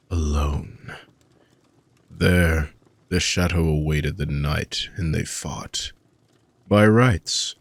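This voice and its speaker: Man voicing an ancient dark mage, very deep and gravelly. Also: no room sound, full character voice. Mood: grave, intense. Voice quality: gravelly, husky. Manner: soft, deliberate, formal archaic phrasing. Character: ancient dark mage villain, weary, tender, brooding. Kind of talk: slow, intimate dramatic monologue for sleep-aid audio roleplay.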